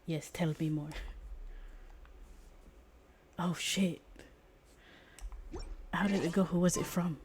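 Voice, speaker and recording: Low-pitched, mellow woman streamer. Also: fairly even intonation, slow, pauses often, a little hiss or hum. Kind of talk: casual livestream chat while gaming.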